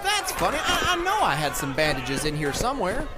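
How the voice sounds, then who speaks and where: An actor playing a folksy, kindly leader of survivors in an audio drama, talking in an earnest, rambling, hesitant way. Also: male voice, high voice, huge pitch swings, a little fast, over a noisy crowd, heavy background noise. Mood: fussing. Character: folksy, kindly male survivor leader hauling wounded mole.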